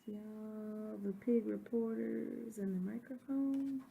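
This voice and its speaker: A woman teacher giving quiet conversational asides during a read-aloud and putting questions to her listeners. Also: mid-range voice, fairly even intonation, slow pace, vocal fry, narrow, tinny audio. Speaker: sixth grade teacher.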